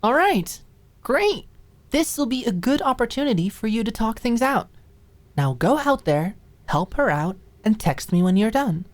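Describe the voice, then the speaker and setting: A woman's voice: on the high side, big pitch swings, unhurried, pitched above the performer's own voice. A woman voicing a bossy, knowing young woman in an audiobook drama, with a firm, teasing, no-nonsense manner. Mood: brisk, resolute.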